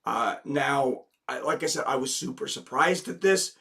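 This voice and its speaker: Slightly hoarse man chatting on a live stream. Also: medium pitch, lively intonation, moderate pace, fairly bright tone. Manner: chatty, greets viewers, reads an article aloud. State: slightly hoarse from a head cold. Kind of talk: casual live stream chat. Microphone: desk microphone.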